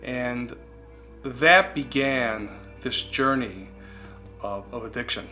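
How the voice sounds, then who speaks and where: A man's voice: mid-range voice, slow pace. Earnest man telling his story. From United States. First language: Greek. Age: 40s. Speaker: speaker sharing his recovery testimony. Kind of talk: personal testimony. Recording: guitar music playing underneath.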